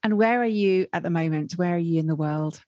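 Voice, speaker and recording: A woman hosts a podcast interview in an upbeat, encouraging way, quick to praise her guest. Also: fairly low pitch, darkish tone, no echo, dull audio. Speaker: podcast host.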